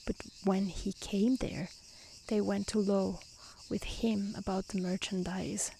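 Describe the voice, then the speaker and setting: A woman softly reading a fairy tale aloud in a gentle, hushed ASMR style that often slips toward a whisper. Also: medium pitch, creaky, poor audio. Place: outdoors in nature. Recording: faint outdoor hiss with crickets and insects.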